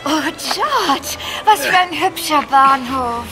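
An actress playing a cheeky, cheerful young Victorian wife who teases playfully in a German radio play. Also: female voice, sits high, animated, slow, music in the background. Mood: playful, exclaiming. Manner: playful, teasing, exclaiming.

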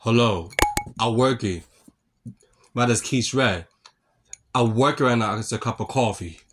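Man, slow halting phrases, repetitive greetings, faint background noise. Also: medium pitch. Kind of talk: repetitive phone-style greetings.

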